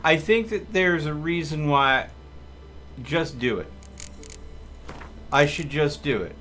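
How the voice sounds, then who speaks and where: A man's voice: fairly high pitch, slow pace, pushed and bright, slight background noise. A man streaming a game with casual live commentary, hesitantly musing aloud.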